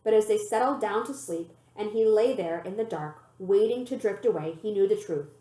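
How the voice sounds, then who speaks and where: A woman reading a children's novel aloud as an expressive, animated storyteller. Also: medium pitch, moderate pace. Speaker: librarian reading a book aloud. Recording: roomy, echoey room sound.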